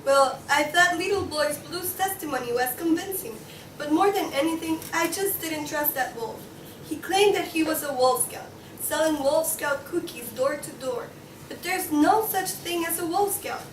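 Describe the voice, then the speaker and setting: Snappy, suspicious pig juror, played by a woman, very high voice, echoey room. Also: normal speed, natural voice. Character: bossy, suspicious pig juror who distrusts wolves. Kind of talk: student table read of a comic play. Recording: echoey room, distant mic, faint noise.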